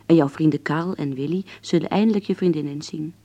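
Young woman in radio play reading a letter, teasing n affectionate, soft mellow tone, very dry sound. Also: deep voice, a little fast, no echo, muffled recording. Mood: playful, coaxing. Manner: teasing, intimate. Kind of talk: radio drama letter narration.

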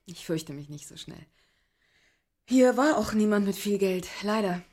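A woman's voice: mid-range voice, animated, unhurried, a little mellow. An actress playing a guarded, teasing woman illustrator in a German radio drama, hesitant at first and then pointed.

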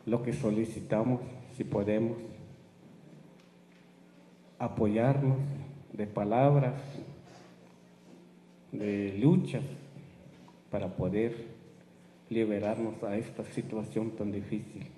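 Slow-speaking man, native Tzotzil speaker. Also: fairly low pitch, fairly even intonation, dark tone, echoey room, big-room sound, a little hiss or hum, poor audio. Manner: slow, halting speech in a second language. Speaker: community speaker. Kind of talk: public address at a meeting.

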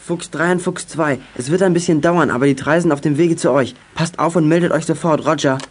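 A man's voice: fairly high pitch, a little fast, husky. A male actor playing an eager schoolboy who leads a detective gang in a children's radio play. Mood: brisk radio call.

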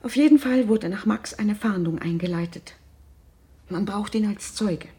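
A worried, wealthy mother in middle age in a radio play. Her voice is very husky and soft-edged, and she speaks with formal reserve. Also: mid-range voice, moderate pace. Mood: worried, guarded. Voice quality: husky, breathy. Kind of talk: naturalistic German radio drama.

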